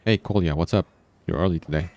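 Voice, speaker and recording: A man's voice: deep voice, fast talker, darkish tone, close to an everyday voice. A man reading the part of a friendly, encouraging bartender in an easygoing, folksy way for a visual novel. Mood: friendly, discreet.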